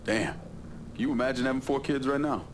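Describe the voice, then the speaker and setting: An actor playing a tough young man with a relaxed, plain-spoken, streetwise manner in a video game cutscene. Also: medium pitch, fairly quick, noisy background, lightly acted. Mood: amused. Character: laid-back, tough young male outsider, loyal friend.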